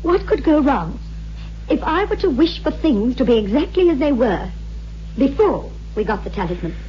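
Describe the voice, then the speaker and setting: An actress playing a distraught, obsessive widow in a 1960s radio horror drama, intense and insistent. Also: female voice, high-pitched, sing-song, normal speed, a little hiss or hum. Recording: dull old radio recording, faint music.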